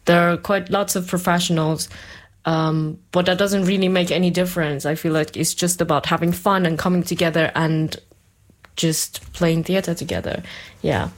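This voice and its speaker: A woman from Iran speaks as a guest in a casual interview. Her voice sits a little low. She talks in a chatty, informal way, often dropping "like" into her sentences. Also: steady tone, normal speed. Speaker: guest, community theater performer.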